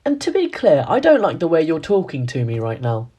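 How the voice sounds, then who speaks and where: Woman doing a cheeky defiant sailor guy, husky, voice jumping up and down. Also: deep voice, huge pitch swings, fairly quick, warm tone, heavily acted. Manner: defiant, insolent. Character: defiant male crewman Collins, insolent. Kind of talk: student radio play acting.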